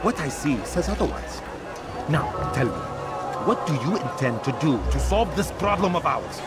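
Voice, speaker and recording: An actor playing an arrogant, ruthless arms dealer, scornful and menacing, in a video game cutscene. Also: male voice, a little high, animated, normal speed, natural voice. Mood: contemptuous. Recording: game mix with loud music and crowd.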